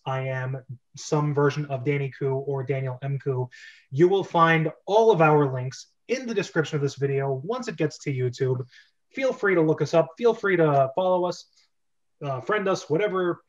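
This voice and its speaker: A man hosting a casual livestreamed group chat about scripts, talking in an informal, rambling way. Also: fairly high pitch. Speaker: host of an online script reading club. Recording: dull, lo-fi online stream audio.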